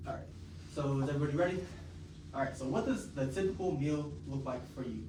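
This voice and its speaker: A man's voice: on the high side, fast talker, warm tone. A young man moderating a student focus group, reading the rules with many ums and uhs. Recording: roomy, distant mic, noisy ambience.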